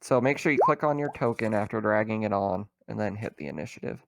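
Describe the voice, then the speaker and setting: Guy running a tabletop game, low gravelly voice, pretty informal, explains stuff as he goes. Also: fast talker. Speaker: game master. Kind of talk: casual tabletop roleplaying game session.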